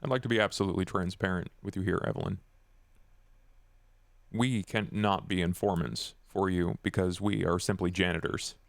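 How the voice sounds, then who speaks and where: A man playing a candid, jaded vampire enforcer in a tabletop game, talking deliberately, formal yet coarse. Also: deep voice, steady tone, vocal fry, no room sound. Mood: dry, candid.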